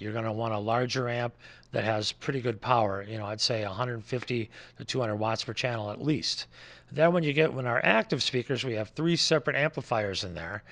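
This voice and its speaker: A man's voice: mid-range voice, a bit bright. A man gives an informal, conversational product overview, explaining things as a distributor would. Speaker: loudspeaker distributor presenting products.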